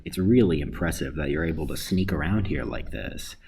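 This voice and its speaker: Man acting gruff, low-voiced scheming facility worker. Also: a little fast, darkish tone, a little hiss or hum. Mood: slyly curious. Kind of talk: radio play acting.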